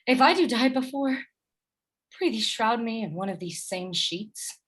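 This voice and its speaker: A woman playing a gentle, melancholy noblewoman and obedient wife in a Shakespearean radio play, with a wistful manner. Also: fairly high pitch, expressive, a little slow, breathy edge, exaggerated voice.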